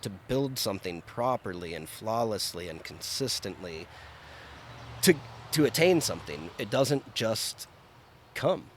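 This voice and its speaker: A man's voice: lowish voice, very animated, measured pace, a little hiss or hum. A middle-aged man from Arizona, a chef, talking casually on a podcast in a blunt, wry way and swearing freely.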